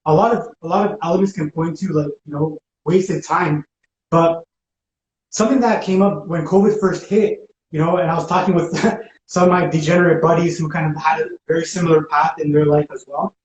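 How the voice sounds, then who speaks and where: A man's voice: fairly high pitch, steady tone, a little fast, muffled recording. A man talking casually and reflectively, in informal everyday language. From spent teenage years in Ecuador. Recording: roomy echo, faint background noise.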